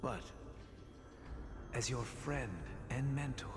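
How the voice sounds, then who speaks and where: An actor playing a calm, gentle old sage who mentors a former pupil, speaking in a measured, solemn way. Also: male voice, medium pitch, natural voice. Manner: measured, solemn, blessing-like speech. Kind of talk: video game voice acting. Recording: roomy hall reverb with game music.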